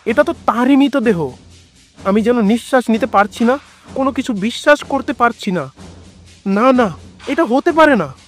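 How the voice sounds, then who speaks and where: A man's voice: extremely high pitch, animated, normal speed, long pauses. A man narrating a scripted first-person horror story in a dramatic, suspenseful storytelling manner. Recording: background music under the voice.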